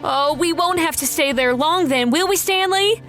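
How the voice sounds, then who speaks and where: A woman's voice: extremely high pitch, normal speed, fairly bright tone, faint music behind. An actress playing a timid, reluctant young woman accomplice, hesitant and anxious, in a radio crime drama. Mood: reluctant, pleading.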